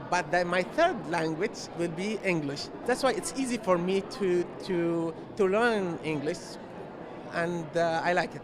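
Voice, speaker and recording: A man from Palestine, an adult English learner who answers in halting English and repeats words as he searches for them. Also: high voice, noisy background. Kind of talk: interview answers.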